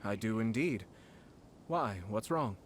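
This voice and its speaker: An actor playing a calm, refined, polite noble commissioner in a video game. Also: male voice, fairly low pitch, heavy background noise. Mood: genial. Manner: composed, measured, polite. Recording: game audio, faint music.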